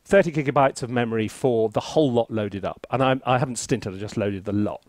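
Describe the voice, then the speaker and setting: A man chatting casually as he hosts a software review, with an animated, theatrical and self-mocking manner. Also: mid-range voice, fairly quick, dry recording. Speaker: composer and reviewer, video host.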